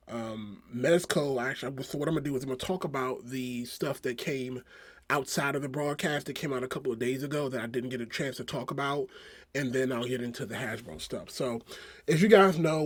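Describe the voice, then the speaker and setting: A man with a hoarse voice from a cold, talking casually through a toy review with lots of fillers. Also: medium pitch. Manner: rambling, many fillers and restarts. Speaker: toy collector vlogger.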